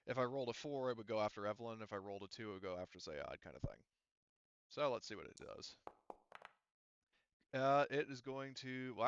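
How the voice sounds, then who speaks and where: A man acting as game master for a tabletop roleplaying game, talking casually and haltingly as he works through rules and narrates combat. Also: medium pitch, clear, resonant tone, dry recording, highs cut off. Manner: casual, halting delivery while working out rules.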